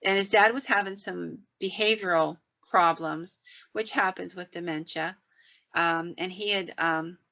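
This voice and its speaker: Bright, low-pitched woman telling stories. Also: fairly even intonation, unhurried. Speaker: podcast host and storyteller. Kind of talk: conversational storytelling about the paranormal. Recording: dull, lo-fi sound.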